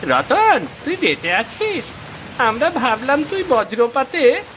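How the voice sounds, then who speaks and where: A man voicing an anxious, excitable farmer in a cartoon moral story, exclaiming dramatically. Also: extremely high pitch, slow pace, exaggerated voice, low-quality recording. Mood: astonished. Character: anxious, excitable male farmer, a scolding friend. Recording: loud rain noise behind the voice. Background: rain.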